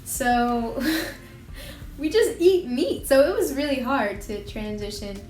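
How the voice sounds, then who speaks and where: Young female host, chatty and bubbly, higher voice, roomy echo with faint music behind. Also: lively intonation, slow, darkish tone. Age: young adult. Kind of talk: casual vlog chat. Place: home room. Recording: echoey room with faint music.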